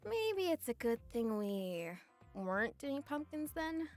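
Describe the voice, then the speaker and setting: Woman as a high-voiced, mild schoolkid. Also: big pitch swings, slow, soft background music, heavily acted. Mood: puzzled. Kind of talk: conversational visual novel character acting.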